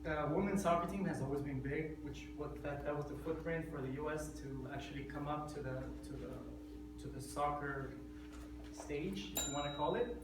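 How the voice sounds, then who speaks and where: A man's voice: fairly high pitch, normal speed, recorded from a distance. A man in his thirties from Ecuador, giving a prepared speech to a speaking club in an earnest way, often checking in with "okay?". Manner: earnest, repeats words, asks "okay?". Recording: echoey room from afar, loud room noise.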